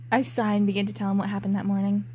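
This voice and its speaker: A woman's voice: mid-range voice, mellow, slight background noise. A young woman co-hosting a podcast, casually reading amateur fiction aloud with sarcastic, self-mocking, giggly commentary. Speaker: podcast co-host. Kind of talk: casual joking dramatic reading.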